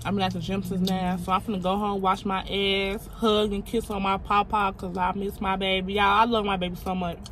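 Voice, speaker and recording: A woman's voice: medium pitch, flat delivery, brisk, hardly any pauses, fairly bright tone, slight background noise. A woman, talking casually to camera from a parked car, blunt and outspoken. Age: 20s. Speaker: vlogger. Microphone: phone camera on dashboard.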